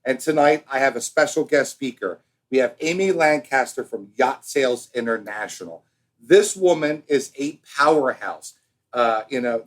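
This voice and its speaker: An American man chats as a podcast co-host in a medium-pitched voice. He is animated and a bit of a showman, switching between grand announcing and teasing banter. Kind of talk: casual, bantering podcast talk.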